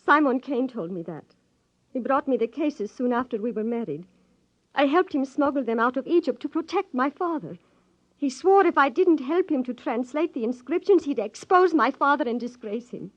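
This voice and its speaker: An actress playing a distraught, bitter wife in a 1950s radio drama, with a halting, intense, dramatic delivery. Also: female voice, sits high, expressive. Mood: bitter grief. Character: distraught, bitter married woman, grieving her murdered father. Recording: muffled, echoing 1950s radio recording.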